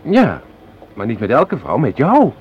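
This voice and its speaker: An actor in a radio play playing an earnest, lovestruck young man who speaks in a halting, pleading, bewildered way. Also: medium pitch, wildly swooping pitch. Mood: pleading, tender. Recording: muffled, hissy old radio play recording.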